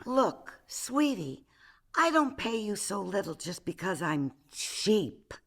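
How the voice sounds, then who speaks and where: A woman's voice: medium pitch, sing-song, slow pace, gravelly, full character voice. A woman acting a brash, worldly art dealer and pushy boss in a comedic radio drama, theatrical and full of endearments. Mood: pushy, urgent. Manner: theatrical, calls people darling and sweetie.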